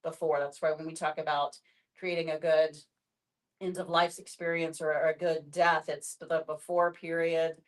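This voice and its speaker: A woman explaining to a discussion group in a conversational, somewhat halting way. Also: sits low, level tone, rough recording. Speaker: palliative care professional.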